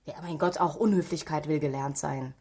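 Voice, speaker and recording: A stern female police superior in a radio drama. Her voice is slightly low, and she is commanding and cutting, with a sarcastic edge. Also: steady tone, moderate pace, a little mellow, slightly rough. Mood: cold sarcasm. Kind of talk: German radio drama acting.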